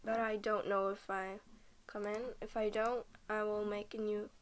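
A woman's voice: mid-range voice, little pitch movement, moderate pace. A young woman from Norway, just woken up and tired, rambling through casual game commentary at her computer, often half-whispering and stopping mid-sentence. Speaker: game vlogger.

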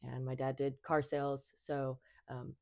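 A woman's voice: extremely low pitch, flat delivery, a little slow, creaky, a little mellow, no room sound, clean studio sound. A woman in her twenties, born in Thailand, chatting as a podcast guest, with many fillers and restarts. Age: 20s. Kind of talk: casual conversation.

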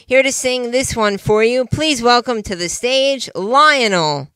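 A man's voice: extremely high pitch, a little slow, bright, forceful tone, clear, resonant tone, dry recording, studio quality, heavily acted. A man voicing a cheerful, polite male puppet talk show host for children, chatty and folksy as he presents like an eager showman. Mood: chatty, friendly.

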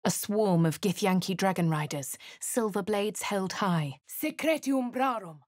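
An actress with a posh English accent voicing a plucky female adventurer hero for a video game, crisp and well-spoken, close to a studio mic. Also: medium pitch, animated, unhurried, darkish tone, no echo, close to an everyday voice. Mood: wry. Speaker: player character.

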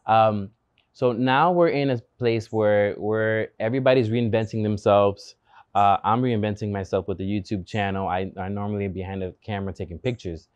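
A man's voice: fairly low pitch, moderate pace, clear, resonant tone, no echo, lo-fi sound. Spanish-accented male host, chatty and agreeable, close dry sound. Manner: agreeable, frequent backchannels, mixes in Spanish phrases. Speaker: host, interviewer. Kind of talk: casual interview conversation. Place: shaded outdoor patio with plants. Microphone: lapel.

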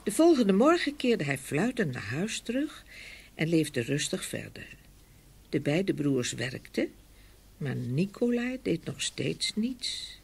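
A woman narrating a scripted fairy tale with expressive storytelling. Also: low voice, slow pace, vocal fry, breathy edge.

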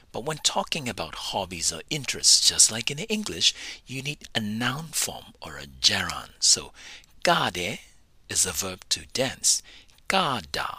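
A low-pitched male teacher who takes his time, spelling out each phrase twice with a lively rise and fall in his pitch. His tone is bright and a little husky, and his endings trail off into breath. The recording is dry and close, with no room sound. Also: dry recording. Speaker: language instructor. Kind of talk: slow, deliberate language lesson narration.

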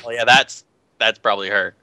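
A man's voice: lowish voice, fairly even intonation, brisk, pushed and bright. A man bantering casually during an amateur group script reading. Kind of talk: casual banter between read lines.

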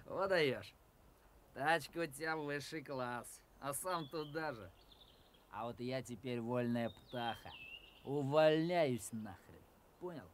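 An actor playing a boisterous, disgruntled young guard who is tipsy, rambling in slang in a radio play. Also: male voice, medium pitch, slow, a little hiss or hum, natural voice. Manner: slangy, slurred rambling and chuckling.

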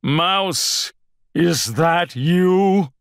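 A man's voice: on the high side, very slow, clear, resonant tone, no echo, clean studio sound, voice pushed up. A man voicing a fussy, grumpy bear from a storybook, with an exasperated, theatrical delivery. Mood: nervous, growling. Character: grumpy, fussy, dramatic male bear wanting quiet.

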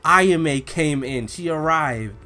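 A young man giving an excited, slangy, casual reaction commentary as he reads along, gushing as host. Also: fairly high pitch, slow pace. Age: young adult. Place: home room with bookshelf, indoors.

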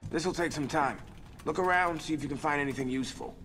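An actor playing a calm, practical, tech-minded mercenary in a video game, matter-of-fact and dry. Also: male voice, a little high, quick pace, noisy background, natural voice. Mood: dry, businesslike. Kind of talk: cinematic video game acting.

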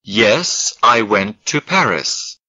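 A man reading slow model sentences for an English lesson, each word carefully spaced. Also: lowish voice, lively intonation, pushed and bright, highs cut off. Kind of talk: slow scripted language drill.

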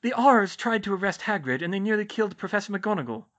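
A woman's voice: mid-range voice, animated, quick pace, voice pushed up, lightly acted. A woman voicing an anxious, earnest and insistent teenage girl witch in a storybook reading. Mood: subdued, earnest. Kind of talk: audiobook-style character dialogue.

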